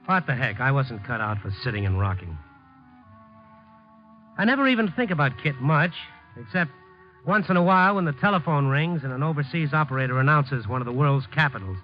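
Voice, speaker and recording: A man's voice: medium pitch, expressive, normal speed, natural voice. An American man acting in a 1950s radio drama as a lovelorn, world-weary, slangy city reporter. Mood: resigned, wry. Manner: slangy, hard-boiled, wry. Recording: muffled 1950s radio recording, music underneath.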